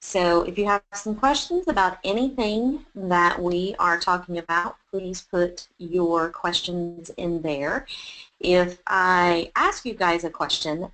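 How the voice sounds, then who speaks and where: A woman's voice: fairly low pitch, a bit bright, low-quality recording. A woman with a Texan accent, a chef hosting a webinar and talking her listeners through it in a chatty, encouraging way with plenty of fillers. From Texas. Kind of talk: webinar presentation.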